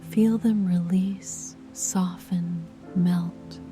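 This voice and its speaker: A woman whispering soft, soothing guided meditation instructions. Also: on the low side, fairly even intonation, extremely slow. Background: music.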